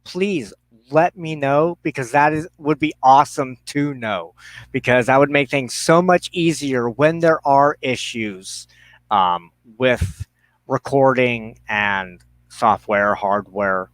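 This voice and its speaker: A man's voice: mid-range voice, slow pace, fairly bright tone, no room sound. A man hosting a live show, chatting casually to viewers in a slangy, rambling way into a headset mic. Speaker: livestream host of a spiritual ministry show. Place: inside a trailer.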